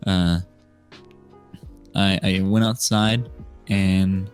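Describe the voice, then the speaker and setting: A man of nineteen with an American accent, chatting and telling stories as a podcast guest in a hesitant, unhurried way. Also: sits low, flat delivery, smooth. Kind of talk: casual storytelling chat. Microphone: remote voice call microphone. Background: soft music.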